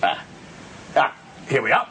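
An actor playing a boorish, excitable film producer in a radio drama, brash and blustering, tending to stammer. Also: male voice, unhurried, slight background noise. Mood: playful, cocky.

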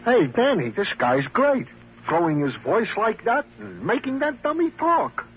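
An actor playing a genial, eager, bumbling police sergeant sidekick in old-time radio drama. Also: male voice, high-pitched, big pitch swings, measured pace, exaggerated voice. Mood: eager, obliging. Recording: muffled, hissy old radio recording.

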